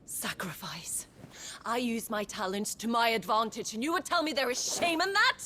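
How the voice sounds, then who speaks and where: A woman's voice: fairly high pitch, expressive, normal speed, pushed and bright, slight background noise. An actress playing a proud, scheming noblewoman in a game cutscene, haughty and mocking. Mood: bitter.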